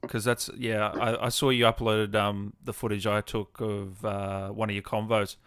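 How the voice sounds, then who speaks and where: A man's voice: lowish voice, little pitch movement, moderate pace, fairly bright tone, clean tone, no room sound, studio quality. A man hosting a gaming show, chatting casually with effusive, matey informality. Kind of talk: casual livestream interview and chat.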